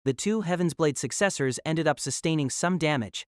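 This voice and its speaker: A man's voice: fairly high pitch, moderate pace, no room sound, studio quality. A man narrating a fantasy novel as a dramatic, scripted audio drama.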